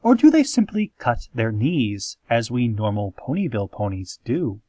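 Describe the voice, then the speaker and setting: A man playing a calm, eerie small-town radio announcer, reading scripted parody news in a measured, theatrical, deadpan way. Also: medium pitch, dry recording, clean studio sound. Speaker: radio host in a parody podcast.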